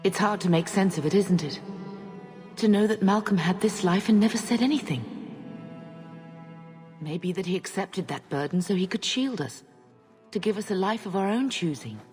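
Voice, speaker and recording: An actress playing a tender, reassuring mother in a video game, speaking gently with a long reverb on her voice. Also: medium pitch, normal speed, long pauses, lightly acted. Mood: tender consoling. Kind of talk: video game drama acting. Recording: long reverberant tail, faint music behind.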